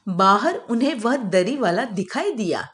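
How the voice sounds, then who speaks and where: A woman's voice: a little high, lively intonation, measured pace, fairly bright tone. A woman reading a scripted children's moral story as a storyteller.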